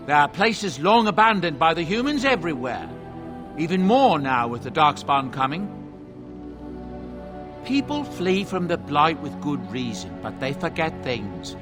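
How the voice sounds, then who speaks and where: A man's voice: a little high, bright, forceful tone, full character voice. An actor playing a genial middle-aged dwarven merchant and adoptive father, telling his story in a folksy, self-justifying way for a video game. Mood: earnest justifying.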